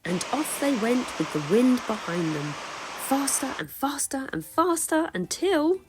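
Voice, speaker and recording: A woman reading a children's picture book aloud in an expressive storytelling style. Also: high-pitched, a little slow, over music, poor audio.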